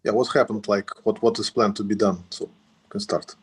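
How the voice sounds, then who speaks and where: A man's voice: on the low side, level tone, moderate pace, slightly rough. A man on a video call chatting casually and joking, speaking in slightly broken English. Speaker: meetup participant.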